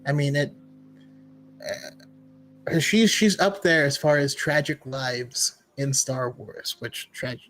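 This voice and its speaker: A man giving a casual review as a podcast panelist, halting and repeating words as he goes. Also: mid-range voice, lively intonation, a bit bright, poor audio. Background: background music.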